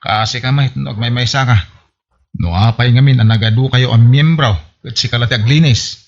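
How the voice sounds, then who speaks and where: A man's voice: medium pitch, normal speed, lo-fi sound, natural voice. A man with an Ilocano Filipino accent playing a reluctant, matter-of-fact village husband who grumbles, in a radio comedy drama. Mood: grumbling, dry.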